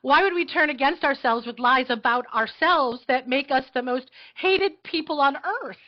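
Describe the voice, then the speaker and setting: Woman playing an earnest, halting young girl, slightly high. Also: natural voice. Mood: indignant. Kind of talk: live table read of a satirical play. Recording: dull lo-fi audio.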